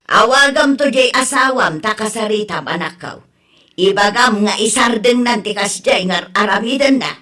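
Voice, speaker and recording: A woman's voice: mid-range voice, moderate pace, bright, forceful tone. A woman with an Ilocano accent acting a concerned family member in an audio drama. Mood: worried.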